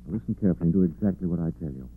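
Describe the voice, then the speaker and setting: Casting: secretive gentleman sleuth, authoritative with lively swoops, vintage radio mystery, thin old sound. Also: low-pitched, racing, slightly rough. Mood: calm, urgent. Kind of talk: 1940s radio acting. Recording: thin, narrow-band old radio recording.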